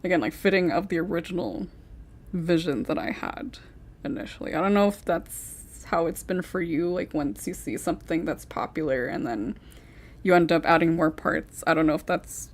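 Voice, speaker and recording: A young woman hosting a casual panel chat, chatty and quick to laugh, sometimes losing her train of thought. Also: on the low side. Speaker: panel host.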